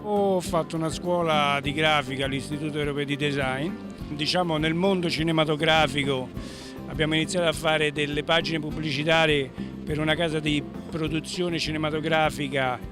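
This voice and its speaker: A man's voice: fairly high pitch, fairly even intonation, moderate pace. A middle-aged man, a graphic designer, answering interview questions informally. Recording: music playing behind the voice.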